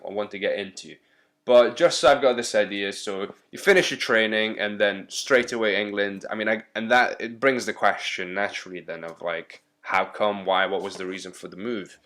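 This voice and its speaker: A man hosting a podcast who talks in a relaxed, encouraging way and thinks out loud while asking questions. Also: on the low side. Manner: chatty, encouraging, thinks aloud. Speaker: podcast host. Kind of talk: casual interview chat.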